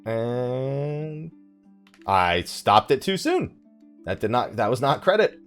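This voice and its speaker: A man's voice: medium pitch, very animated, slow pace, fairly bright tone, faint music behind. A man giving casual let's play commentary, chatting and rambling as he thinks aloud while he plays. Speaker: gaming YouTuber, let's play host.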